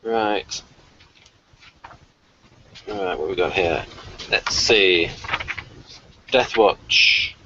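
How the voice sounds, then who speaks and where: A man's voice: low voice, very slow, pauses often, highs cut off, background noise. A man hosting a casual fan review, chatty and rambling as he thinks aloud. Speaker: host of a TV review discussion.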